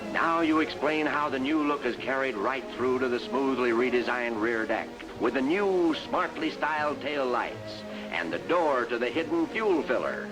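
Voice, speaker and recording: An actor playing a bossy, emphatic sales mentor who narrates and coaches in a 1950s industrial training film. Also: male voice, mid-range voice, animated, moderate pace, few pauses, close to an everyday voice, low-quality recording. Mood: enthusiastic instruction. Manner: instructive, emphatic, salesmanlike delivery. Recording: vintage 1950s film soundtrack with music.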